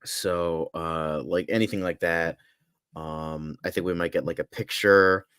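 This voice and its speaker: A man's voice: sits low, steady tone, unhurried, a bit bright, clear, resonant tone, dry recording, clean studio sound. A man co-hosting a casual film discussion, speaking tentatively and hesitantly with many filler words. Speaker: podcast co-host.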